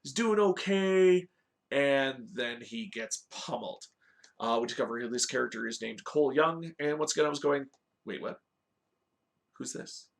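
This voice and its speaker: A man with a mid-pitched, creaky voice hosts a review in a casual, informal style. He talks conversationally, as if chatting to regular viewers, with a noticeable gravelly fry in his delivery. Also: expressive, normal speed. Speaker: host of a video game movie review show. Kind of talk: casual review talk with story recap.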